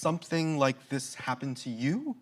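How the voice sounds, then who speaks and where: Someone acting as an ancient greek time traveler, formal and questioning, really animated pitch, audio drama. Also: normal speed. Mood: concerned, indignant. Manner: formal, old-fashioned wording, questioning.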